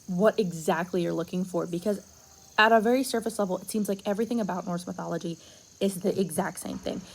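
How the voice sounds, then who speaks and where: A young woman presenting a school project in a casual, halting way, stuttering and pausing often and dropping to a whisper at times, recorded on a tablet at home late at night. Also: medium pitch, creaky, darkish tone, slight background noise. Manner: stuttering, halting, with many pauses and fillers. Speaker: student presenting a class project.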